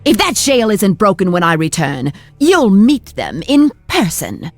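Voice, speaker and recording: Imperious, slow-talking villainess. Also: female voice, mid-range voice, huge pitch swings, full character voice. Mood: gloating menace. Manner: imperious, drawn-out, threatening. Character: haughty, cruel sorceress mistress of the mines. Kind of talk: video game cutscene acting. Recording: music behind.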